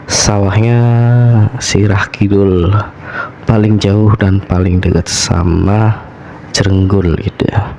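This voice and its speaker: A man with a deep voice and a Javanese accent narrates spooky tales as a radio-style host. He takes his time, speaking slowly and sounding a little hoarse from a cold. Faint noise and ambience sit behind him. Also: flat delivery, darkish tone. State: slightly hoarse from a cold and cough. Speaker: host of a horror story show. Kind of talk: radio-style horror storytelling.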